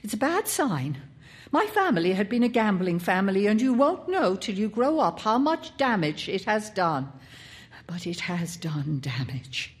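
A woman's voice: medium pitch, lively intonation, moderate pace, lightly acted. A woman voicing an anxious, domineering and weary mother in a story, coaxing and admonishing in a fretful way. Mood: stern, opposed.

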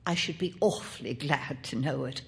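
A woman's voice: medium pitch, expressive, moderate pace, natural voice. A woman voicing an anxious, domineering and weary mother in a story, coaxing and admonishing in a fretful way. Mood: weary, resigned.

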